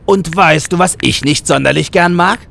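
A man's voice: sits high, moderate pace, vocal fry, a bit bright, voice pushed up. A man voicing an exasperated, preachy hitman who talks emphatically in a satirical audio play. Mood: scolding. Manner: emphatic, indignant, sweary.

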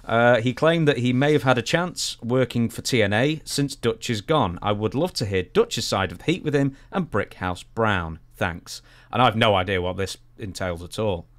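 A man in his twenties with a fairly low voice co-hosts a podcast. He speaks casually into a close studio microphone, moving between chat and reading out questions. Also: moderate pace, few pauses. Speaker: podcast co-host. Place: small indoor home studio. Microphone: close boom-arm studio mic.

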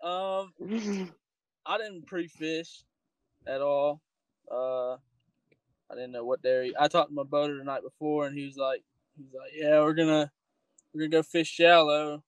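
A man chatting casually as a guest, laid-back and halting, in short phrases. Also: fairly high pitch, fairly even intonation, clean tone, dry recording, rough recording. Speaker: podcast guest, co-angler. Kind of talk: casual chat.

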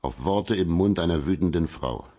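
An actor playing a terse, unsentimental private detective in a radio crime drama. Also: male voice, low voice, normal speed, warm tone. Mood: dry, terse. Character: matter-of-fact hard-boiled private detective.

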